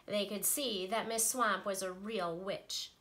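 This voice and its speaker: A woman, a teacher, reading a children's picture book aloud with playful, expressive delivery. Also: medium pitch, unhurried, noisy background. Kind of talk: storybook read-aloud.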